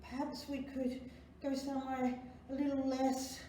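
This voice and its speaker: A woman voicing excitable young children in a picture book, with exclamatory, stretched-out calls. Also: sits high, unhurried, far from the mic. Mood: pleading, questioning. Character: excitable young children, a boy and girls on adventure. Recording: very echoey room, faint noise.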